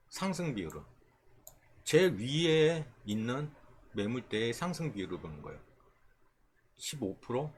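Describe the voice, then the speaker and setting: A man's voice: medium pitch, slight background noise. A man giving an informal, explanatory tutorial as an instructor, often checking in with short rhetorical questions. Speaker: stock trading instructor. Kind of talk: informal screen-share tutorial explaining stock charts.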